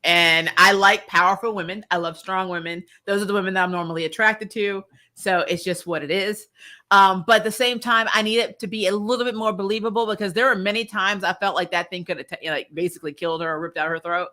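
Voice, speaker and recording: A woman giving a casual, opinionated review in an animated, sweary way, acting out bits of mock dialogue. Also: medium pitch, fast. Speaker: host of a TV series review show.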